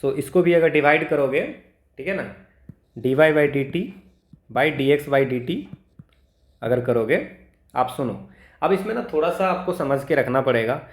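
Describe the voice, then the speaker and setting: A man's voice: mid-range voice, a little slow, long pauses. A man with an Indian accent teaching in an informal, step-by-step way, mixing two languages and often checking that listeners follow. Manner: informal, step-by-step, checks understanding with frequent tags. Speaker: maths teacher for exam preparation. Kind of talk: explanatory lesson mixing Hindi and English. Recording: echoey room sound.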